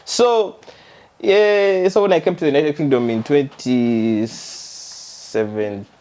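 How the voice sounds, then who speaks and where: A man's voice: fairly high pitch, takes it slowly, a little mellow, a little hiss or hum. A man in his thirties with a Ugandan accent, chatting casually with his wife in a hesitant, joking way. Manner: hesitant, joking, repeats phrases. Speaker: guest husband. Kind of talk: casual chat.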